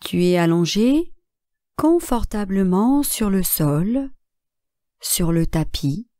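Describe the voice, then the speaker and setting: Female meditation guide, soft-edged mellow tone, dry close sound, measured and calm delivery of short relaxation cues. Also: medium pitch, no echo. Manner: calm, measured, instructing in short phrases with long pauses. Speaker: yoga nidra instructor.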